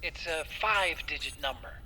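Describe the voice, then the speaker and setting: A man's voice: on the high side, expressive, moderate pace, bright, forceful tone, soft background music. A man playing a friendly, chatty tech-expert sidekick in a video game, with an American accent and a lightly teasing, helpful way of talking. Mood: casual, focused.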